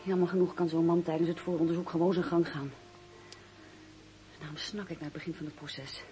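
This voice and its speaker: An actress playing a loyal, indignant young fiancée in a radio drama, speaking earnestly and with emphasis. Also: female voice, lowish voice, steady tone, a little fast, mellow, soft background music, lightly acted. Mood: indignant, bitter. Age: young adult. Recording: noisy radio play recording.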